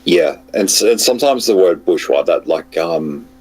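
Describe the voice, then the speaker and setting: Super low husky guy, hoarse from a cold, lots of ums and restarts. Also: expressive, moderate pace. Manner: hesitant, many fillers and restarts. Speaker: guest. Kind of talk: casual online chat.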